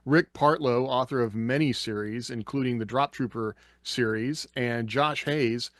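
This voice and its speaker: A man's voice: medium pitch, measured pace, a bit bright, no echo. A man hosting a casual panel chat in a playful, joking way. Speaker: podcast host.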